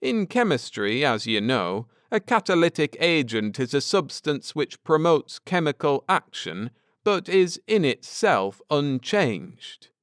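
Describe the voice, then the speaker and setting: A man voicing a grim, intense space-travelling husband in an audiobook, lecturing gravely and earnestly with drama. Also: a little high, big pitch swings, slow pace, hardly any pauses, fairly bright tone, dry recording, studio quality, voice pushed up, exaggerated voice. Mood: speculating.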